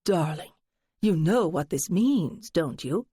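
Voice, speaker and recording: A woman's voice: lowish voice, animated, slow, mellow, slightly rough, no room sound, studio quality, full character voice. A woman narrator voicing a flamboyant, dramatic man who drawls "darling" and talks with theatrical flourishes. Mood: conspiratorial. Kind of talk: audiobook character voice.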